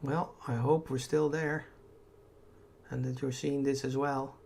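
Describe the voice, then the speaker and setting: Man, mid-pitched voice, rambling gamer commentary, hesitant, self-correcting, game music behind. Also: flat delivery, measured pace, creaky, darkish tone. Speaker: gaming YouTuber. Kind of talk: casual let's play commentary. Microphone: desktop mic over game audio.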